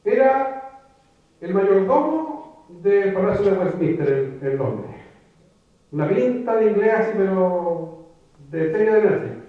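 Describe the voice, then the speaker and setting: A man with a high voice gives a reflective talk to an audience, circling back and repeating words as he gathers his thoughts. He sounds far from the mic, and the room echoes heavily through a thin, phone-like recording. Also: very animated, takes it slowly, pauses often. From Chile. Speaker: writer giving a public talk. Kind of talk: conversational lecture. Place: lecture room before an audience.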